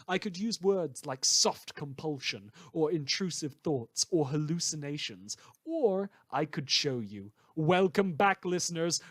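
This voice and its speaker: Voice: medium pitch, dry recording, clean studio sound. A performer playing a chatty, wry radio news host in a superhero world, theatrical and teasing, rambling and correcting themself in a fan audio drama. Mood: teasing, ominous.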